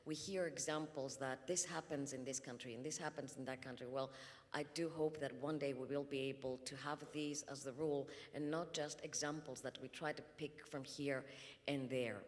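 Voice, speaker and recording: Low-voiced woman in an echoing hall. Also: fairly even intonation. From Greece. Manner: measured, with frequent ums and uhs. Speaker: panelist. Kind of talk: conference panel speech. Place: conference hall. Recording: long reverb in a conference hall.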